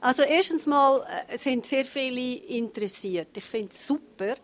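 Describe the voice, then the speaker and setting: A woman's voice: high-pitched, moderate pace. A woman with a Swiss German accent giving spontaneous interview answers as the director of a meeting place. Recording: interview with clear background noise.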